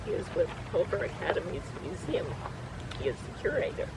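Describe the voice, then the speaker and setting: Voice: mid-range voice, very animated, a little fast. An announcer outdoors giving a short introduction of the next speaker. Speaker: event announcer. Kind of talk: brief introduction. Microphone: far from the camera. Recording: distant voice with loud outdoor noise.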